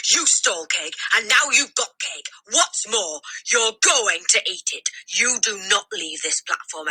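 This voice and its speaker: A woman voicing a tyrannical, bullying headmistress from a children's storybook, sneering and explosive, giving commanding drawn-out orders. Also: on the high side, bright, forceful tone, voice pushed up, heavily acted, poor audio. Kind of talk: children's storybook read-aloud, character voice.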